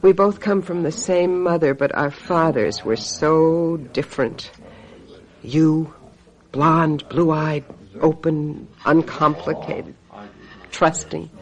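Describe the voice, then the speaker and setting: A woman playing a sharp-tongued, brooding psychic in a radio mystery, blunt and knowing as she presses her sister. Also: low-pitched, slow pace. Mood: brooding, ominous. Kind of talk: radio mystery drama acting.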